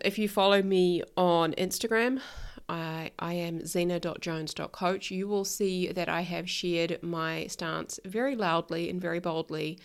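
A woman's voice: on the low side, clean tone. A woman with a New Zealand accent hosts her own podcast in a frank, outspoken monologue. Her voice sounds a little nasal and stuffed up from a recent illness, and she doesn't shy from swearing. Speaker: podcast host, life coach. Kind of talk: casual solo podcast monologue.

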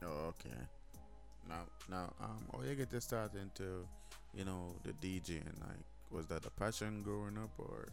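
A man's voice: low voice, moderate pace, gravelly, faint music behind. A man hosting a casual show, laid-back and slangy, hyping up his guests. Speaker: radio show host.

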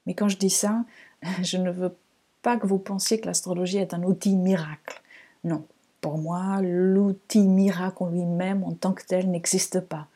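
A woman from Portugal with a Portuguese accent, speaking French as a second language in a reflective, measured podcast monologue, pausing between phrases. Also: fairly low pitch, little pitch movement, warm tone. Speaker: podcast host and astrologer.

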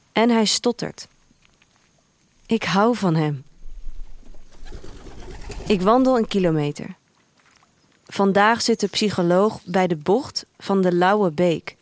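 An actress playing an introspective young woman who narrates her life in a dystopian future, in measured, deliberate first-person literary storytelling. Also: mid-range voice, a little mellow, no room sound, lightly acted. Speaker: narrator of a radio drama. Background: music.

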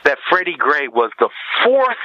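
Forceful, animated man, slightly muffled audio. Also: fairly high pitch, moderate pace, no echo. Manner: blunt, emphatic. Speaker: podcast guest, commentator. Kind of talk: opinionated interview commentary.

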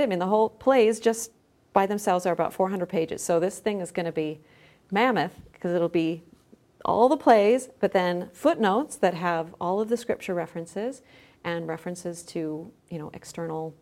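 A woman's voice: fairly low pitch, normal speed, darkish tone. Woman explaining things in conversational interview. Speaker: podcast guest, scholar and journal editor.

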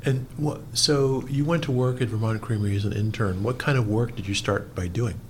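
A man's voice: mid-range voice, a little fast, darkish tone. Man, interviewer, curious questions, conversational, faint noise.

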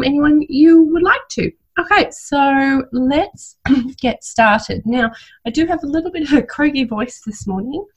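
A woman's voice: a little high, poor audio. A woman presenting informally to listeners as a parenting coach and host.

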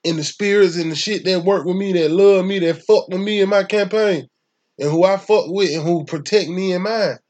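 A man's voice: high-pitched, moderate pace, darkish tone. Profane Atlanta man with a raised voice. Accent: Southern US (Atlanta). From Atlanta, Georgia, US. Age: young adult. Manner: profane, rambling, emphatic. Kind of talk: casual conversation.

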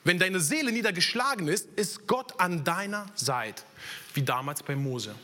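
A man's voice: high-pitched, lively intonation, normal speed, bright, forceful tone. A young man from Italy with an Italian accent, preaching a sermon in earnest and sharing his own story. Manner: earnest, personal testimony with slips in German grammar.

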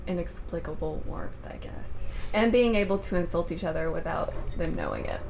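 A woman's voice: on the low side, wildly swooping pitch, moderate pace, vocal fry, darkish tone. A woman in her twenties from the American South talks as a guest in a casual interview. She keeps a playful, teasing tone. Faint background hiss is heard.

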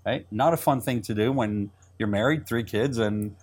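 Middle-aged guy from israel, hebrew native, talks quick in an interview, keeps restarting and repeating himself. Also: on the low side, a little mellow, breathy edge. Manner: fast, restarting, repeats words. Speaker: entrepreneur guest. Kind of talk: interview conversation.

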